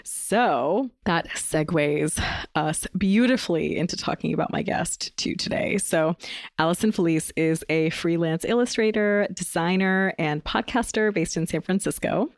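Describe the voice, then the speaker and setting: A woman's voice: fairly low pitch, unhurried, dry recording, studio quality. A woman hosting an interview podcast, talking in an enthusiastic, effusive way. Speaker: podcast host.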